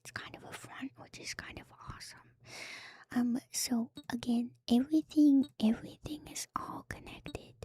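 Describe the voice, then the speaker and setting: A woman streamer whispering an ASMR lore commentary to her viewers in a hushed, musing, unhurried way. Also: on the high side, fairly even intonation, husky. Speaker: VTuber streamer. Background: music.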